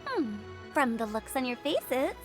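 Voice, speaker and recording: A woman's voice: sits high, big pitch swings, a little slow. A woman playing a quirky young female funeral director in a video game, with a playful, theatrical storytelling manner. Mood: playful, teasing. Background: music.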